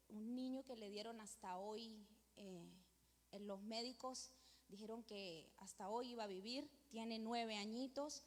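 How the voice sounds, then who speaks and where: A middle-aged woman with a Venezuelan accent, a pastor preaching and praying with emphatic, repeated devotional phrases. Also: fairly high pitch. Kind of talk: church sermon and prayer. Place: church hall with long reverb. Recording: reverberant hall.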